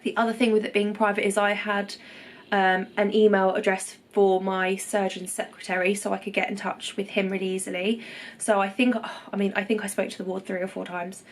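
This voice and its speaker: Young woman, congested, casual home vlogger; chatty, reassuring, mid-pitched. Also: little pitch movement, a little fast. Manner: chatty, reassuring, many ums and self-corrections. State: nasally congested after nose surgery. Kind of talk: casual recovery vlog to camera.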